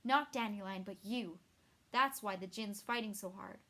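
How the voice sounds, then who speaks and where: Proud sorceress read by a woman, bossy and loud. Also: medium pitch, normal speed. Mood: cold. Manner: commanding, forceful. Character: cold, proud female sorceress.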